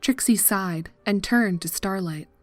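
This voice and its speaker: A woman narrating a scripted horror story in a measured, dramatic manner. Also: medium pitch, a little mellow, no echo, faint music behind.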